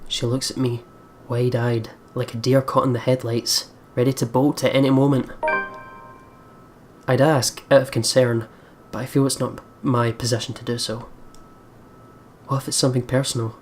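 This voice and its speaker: A woman hosting a let's play, reading visual novel narration and reacting in casual, expressive, sweary commentary. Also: a bass voice, normal speed, slight background noise.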